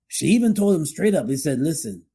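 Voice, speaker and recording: A man co-hosting a podcast, discussing relationships conversationally in a halting way, often repeating his phrases. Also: a little high, big pitch swings, mellow, husky. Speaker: podcast co-host.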